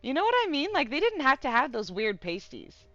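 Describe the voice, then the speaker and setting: A woman streamer giving casual, giggly, excitable and profane live commentary on a game. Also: sits high, fairly quick, dry recording, highs cut off, soft background music.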